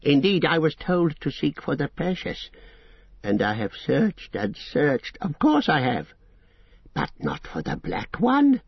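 A hissing, whining creature. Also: male voice, wildly swooping pitch, no room sound, lo-fi sound, heavily acted. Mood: indignant. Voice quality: hissing, breathy whisper swinging to high squeaks. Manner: wheedling, sulky, slow and drawn out. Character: hissing wretched creature, male, sly and whining.

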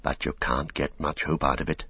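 A man voicing a weary, cautious, gentle male hobbit in a storybook, speaking in a hushed, measured and grave manner. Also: low-pitched, sing-song, husky, dry recording, muffled recording, full character voice.

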